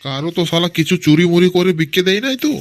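A man's voice: high voice, animated, very fast, very noisy. A man voicing a foul-mouthed village father in a cartoon, grumbling and suspicious.

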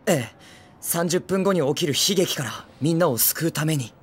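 A man voicing a brash, excitable teenage boy hero in an anime film, with cocky, eager, boyish speech. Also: on the high side, moderate pace, slightly rough. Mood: earnest, urgent. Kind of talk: Japanese anime film acting.

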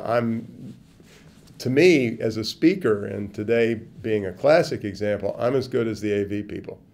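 An older man answering interview questions in a casual, animated way, on a lapel mic at an indoor event. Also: on the low side. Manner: animated, gestures with hands, swings from quiet to loud. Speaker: interviewee, business speaker. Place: indoor event interview corner before a branded backdrop.

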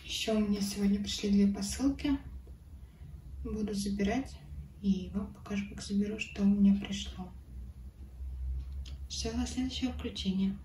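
A slightly hoarse woman chats easily about her cross-stitch, now and then slipping into a near-whisper. She sounds a little distant from the mic, in an echoey room with a noisy background. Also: mid-range voice, normal speed, pauses often. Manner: chatty, drops into whispers, laughs softly. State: a bit hoarse. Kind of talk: casual chat showing needlework. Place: home, at a table.

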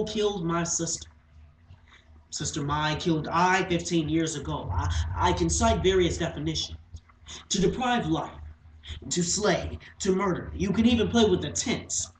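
A man performing a dramatic monologue as a guilt-haunted man in his thirties, halting and swinging between hushed and loud delivery. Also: high-pitched, pitched above the performer's own voice. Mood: agitated anguish. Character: guilt-haunted thirty-two-year-old man confessing to killing his sister. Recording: echoey room with faint noise.

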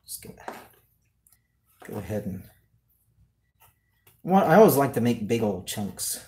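A man's voice: medium pitch, big pitch swings, unhurried, dark tone. A man hosting a casual cooking livestream from his home kitchen, talking off the cuff and jokingly as he works. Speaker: cooking show host. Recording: clearly noisy home kitchen livestream audio.

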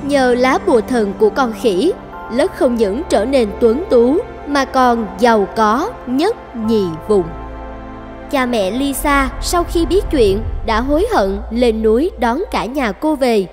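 A woman's voice: fairly high pitch, animated, slow pace, big-room sound. A woman reading scripted fairy-tale narration as a storyteller. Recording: music behind the voice.

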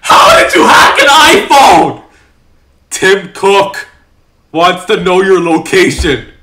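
A young man giving casual reaction commentary, excitable, exclaiming and joking. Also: high-pitched, slow pace. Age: young adult. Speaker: reaction video host. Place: small room indoors. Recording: very noisy, echoey, distant mic.

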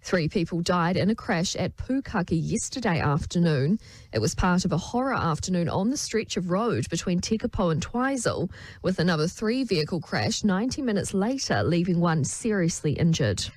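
Lowish-voiced New woman reporter. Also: big pitch swings, normal speed, darkish tone, no echo. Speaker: news reporter. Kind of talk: scripted news report.

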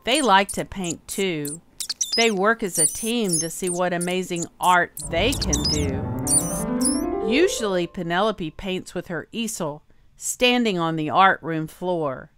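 A woman reading a children's story aloud as a storyteller, expressive and carefully enunciated, drawing out key words. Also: lowish voice, slow. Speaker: storyteller, host. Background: music.

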